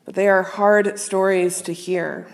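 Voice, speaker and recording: A woman minister giving a sermon to a congregation, speaking in a measured, earnest and reflective way with pauses. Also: mid-range voice, level tone, vocal fry. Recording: long reverb of a large hall.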